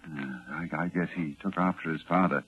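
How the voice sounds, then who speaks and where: An actor playing a grave, horror-struck scholarly doctor, with dramatic pauses and halting repetitions, in 1940s radio drama style. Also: male voice, low-pitched, steady tone, husky. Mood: hushed horror. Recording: muffled 1940s radio, loud music.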